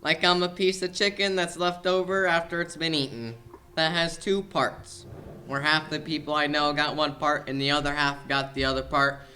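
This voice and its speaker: A man's voice: on the high side, flat delivery, normal speed, bright, forceful tone, a little hiss or hum. A young man playing an exasperated, self-pitying middle-aged mob boss in a comic student radio play, whiny and blustering. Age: young adult. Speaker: actor in a student audio play.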